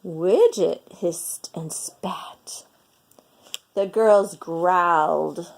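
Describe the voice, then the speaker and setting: A woman reading a picture book aloud in an expressive, dramatic storytelling manner for children. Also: on the low side, extremely slow, gravelly.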